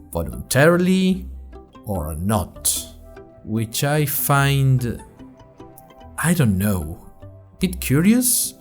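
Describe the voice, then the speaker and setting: A man's voice: medium pitch, darkish tone. A man playing an anxious young college freshman who narrates his podcast in a wry, rambling, self-conscious monologue. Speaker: narrator and host of a fiction podcast. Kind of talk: scripted first-person audio drama monologue.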